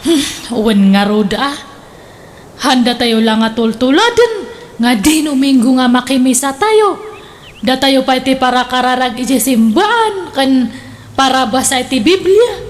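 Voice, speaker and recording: A woman's voice: high-pitched, animated, measured pace. A woman playing a pious, meek mother in an Ilocano radio comedy drama, with an Ilocano accent. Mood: earnest.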